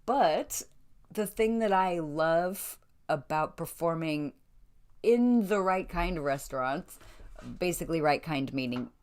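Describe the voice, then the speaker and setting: A woman with an American accent chatting casually to an online audience, telling stories in an animated way and acting out the remarks she quotes. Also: sits low, slow pace, clear, resonant tone. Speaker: belly dancer telling stories of her career.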